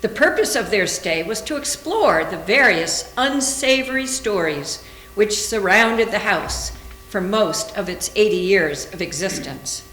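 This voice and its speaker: A woman's voice: mid-range voice, lively intonation, measured pace, a bit bright. A woman reading scripted literary narration aloud for a readers theater. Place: indoor room, live library reading. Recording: echoey room with faint hum.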